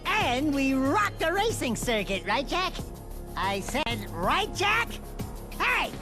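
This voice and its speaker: A man's voice: extremely high pitch, big pitch swings, slow, music in the background, heavily acted. A man voicing a cocky, wisecracking small furry animal sidekick in a video game, boastful and prone to exclaiming. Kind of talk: video game cartoon acting.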